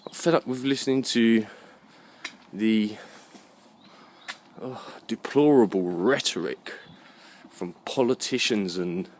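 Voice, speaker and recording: A man's voice: fairly low pitch, slow, slight background noise. A British man giving a rambling, opinionated monologue, emphatic and fond of rhetorical questions. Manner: emphatic, rhetorical questions, checks listener understands. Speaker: vlogger.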